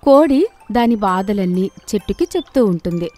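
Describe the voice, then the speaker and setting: A woman's voice: mid-range voice, expressive, normal speed, dark tone, soft background music. Woman narrating a children's cartoon tale. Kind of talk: storybook narration.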